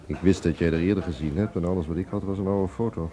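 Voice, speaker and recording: Actor as a deep-voiced, wry schemer. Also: male voice, fairly even intonation, fast, mellow, close to an everyday voice. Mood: wary, sardonic. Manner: wry, suspicious, conspiratorial. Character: wary, sardonic Russian schemer with gallant manners. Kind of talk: radio drama acting. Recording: radio play with busy crowd ambience.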